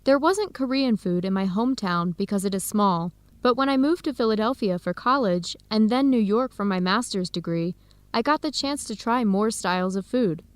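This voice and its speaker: A woman's voice: medium pitch, lively intonation, moderate pace, no room sound, lightly acted. A woman with an American accent playing a friendly young researcher in an English-learning radio drama. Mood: friendly, keen. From United States. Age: young adult.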